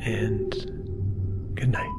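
A man hosting a bedtime podcast, narrating gently in a soft, half-whispered voice for relaxation. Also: huge pitch swings, very slow, very noisy. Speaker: podcast host. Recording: music playing behind the voice. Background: mellow music and low-key sound design.

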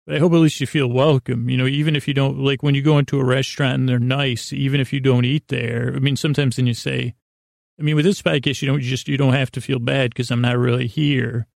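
A man hosting a bedtime sleep podcast, rambling in a halting, stammering way and often trailing off mid-thought. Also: mid-range voice, flat delivery, no room sound, clean studio sound. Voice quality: gravelly, creaky. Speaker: podcast host.

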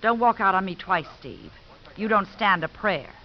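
An actress playing a brazen, scheming ex-wife in a 1950s radio drama. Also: female voice, mid-range voice, expressive, normal speed, close to an everyday voice. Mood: threatening. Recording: muffled, noisy 1950s radio recording.

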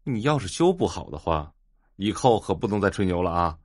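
An actor playing a young electronics stall owner in an audio drama, jovial, mocking and a bit preachy. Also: male voice, deep voice, big pitch swings, a little fast, dry recording, clean studio sound. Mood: teasing, lecturing.

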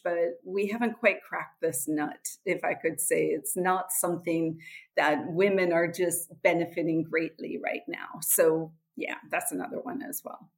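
A middle-aged woman with an American accent, answering interview questions in an earnest, explanatory way. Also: fairly low pitch. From Switzerland and USA. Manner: earnest, explanatory, occasional laughs. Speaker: guest, nonprofit executive director.

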